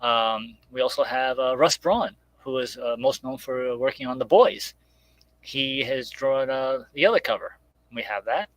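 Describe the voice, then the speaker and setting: A man talking casually and haltingly as a guest creator plugging his project on a live stream. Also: medium pitch, fairly bright tone, dry recording, poor audio. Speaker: guest comic creator promoting crowdfunded book and radio show.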